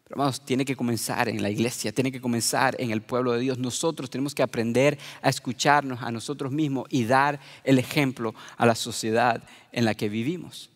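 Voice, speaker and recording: A man's voice: mid-range voice, steady tone, fairly quick, hardly any pauses, big-room sound. A middle-aged man from Venezuela preaching a sermon to his congregation, earnest and personal in tone. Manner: earnest, addresses listeners as beloved. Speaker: pastor.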